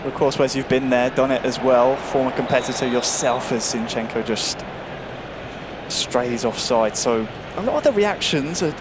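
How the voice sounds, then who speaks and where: A man doing live play-by-play commentary on an esports football match, rising with excitement on goals. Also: mid-range voice, normal speed, heavy background noise. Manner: lively, builds excitement on goals.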